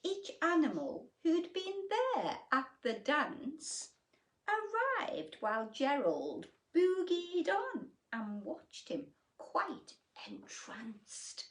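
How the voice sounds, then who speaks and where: A woman reading a bedtime story to young children in an expressive, exaggerated way, with hushed asides to her listeners. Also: high voice, very slow.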